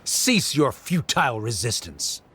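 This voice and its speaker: A man's voice: medium pitch, sing-song, unhurried, noisy background. A man playing a stern, haughty scholar official in a video game, pompous, formal and condescending. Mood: contemptuous.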